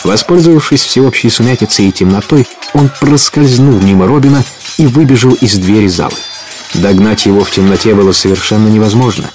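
Low male narrator reading an adventure tale, radio theatre style. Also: a little fast, a little mellow. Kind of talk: scripted dramatic storytelling narration.

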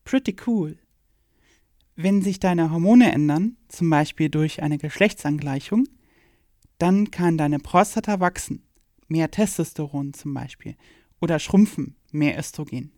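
A woman's voice: deep voice, a little slow, warm tone, no echo, studio quality. A woman reading a scripted educational radio play in a frank, matter-of-fact way. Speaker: performer in an audio play.

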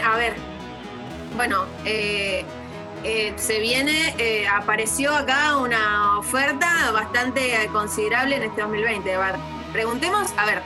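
A woman's voice: on the high side, close to an everyday voice. A woman playing an earnest radio anchor with an Argentine accent, hesitant and formal, hosting mock live news in a comic radio play. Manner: hesitant, formal, repeating herself. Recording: music behind the voice.

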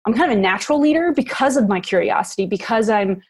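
A woman's voice: mid-range voice, expressive, a little mellow, husky. A woman in her forties, a podcast guest, talking candidly and reflectively about her life in a casual interview. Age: 40s. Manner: candid and reflective, quick bursts with laughs.

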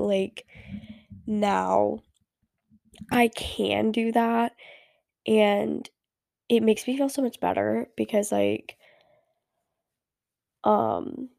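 A woman's voice: mid-range voice, gravelly, a little mellow, dry recording, clean studio sound. Stuffy-voiced teenage girl podcaster. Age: teens. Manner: rambling, many fillers and restarts. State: stuffy from a cold. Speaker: podcast host. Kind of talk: casual solo podcast chat.

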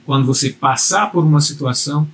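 A man with a slightly high voice gives a motivational video lesson on speaking and self-confidence. He talks straight to the viewer in an earnest, repetitive way, pressing each point home. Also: brisk, a little hiss or hum. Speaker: communication coach.

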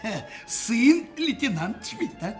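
An actor playing a naive, dim-witted young petty crook in a radio play, excitable and bewildered, halting and blurting out interjections. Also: male voice, very high-pitched, full character voice. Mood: excited. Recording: studio radio play with music.

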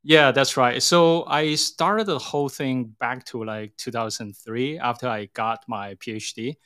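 A middle-aged man with a Hong Kong accent talks as an interview guest. His pitch is average for a man. He explains things conversationally, pausing and rephrasing as he goes. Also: a bit bright, smooth. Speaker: interview guest, tech company CEO.

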